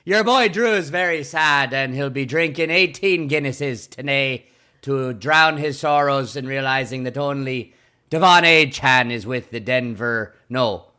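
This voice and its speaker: A man's voice: mid-range voice, unhurried, sharp tone, clean tone. A man voicing a mock-mournful Irish pub lad with a put-on Irish accent and a lilting, theatrical manner. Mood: mock sorrow.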